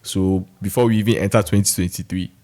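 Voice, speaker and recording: A man's voice: low-pitched, steady tone, fast, mellow. A man with a Ghanaian accent giving informal, conversational opinion commentary in a frank, critical way. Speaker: commentator giving his views on national news.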